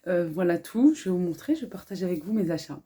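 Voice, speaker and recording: Woman, 30s, from France, slight Arabic-influenced accent; mellow, lowish voice, hushed and chatty haul vlogger at home. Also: quick pace. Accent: French with a slight Arabic-influenced lilt. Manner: speaks softly, hushed so as not to wake children; chatty, many fillers, calm and earnest. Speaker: family vlogger. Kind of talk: casual haul vlog. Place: home kitchen, indoors.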